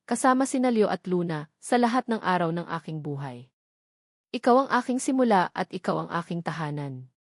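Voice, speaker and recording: A woman's voice: medium pitch, no room sound, clean studio sound. Woman voicing a devoted, tender man in love. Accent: Filipino. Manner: earnest, romantic declarations.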